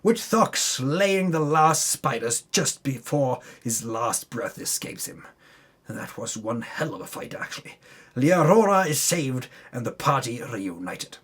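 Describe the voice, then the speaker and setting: A man with a husky voice doing a theatrical, tongue-in-cheek dramatic reading of a tabletop campaign recap, with wry asides. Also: mid-range voice, big pitch swings, a little slow, fairly bright tone.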